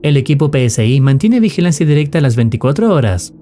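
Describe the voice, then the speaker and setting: A man's voice: fairly high pitch, big pitch swings, fast, mellow, faint music behind. A man delivering formal, dramatic scripted narration that recaps an analog horror story.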